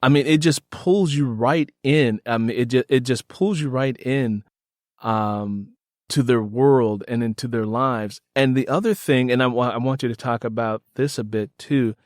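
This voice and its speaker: A man's voice: mid-range voice, normal speed, dry recording, studio quality. A man hosting a casual interview, enthusiastic and emphatic, often repeating his phrases. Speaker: podcast host interviewing an author.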